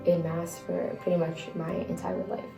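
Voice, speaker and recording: A woman's voice: fairly low pitch, level tone, normal speed, gravelly. A young woman from Massachusetts, a first-year college student talking casually with fillers. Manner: casual, uses "like" and "um". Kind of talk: casual interview answers. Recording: roomy echo, music underneath.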